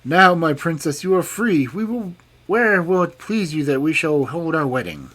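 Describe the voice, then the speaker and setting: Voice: medium pitch, big pitch swings, normal speed, a bit bright, husky, voice pushed up. A storybook narrator voicing a stubborn, passionate young king who speaks in a raised, emphatic voice. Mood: triumphant.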